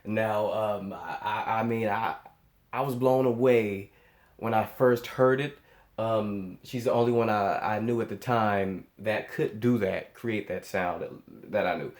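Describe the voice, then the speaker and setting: A man's voice: on the low side, measured pace, roomy sound. A man giving casual, off-the-cuff reaction commentary as a host, speaking with amazed emphasis and often repeating his words and phrases. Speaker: host of a music reaction channel.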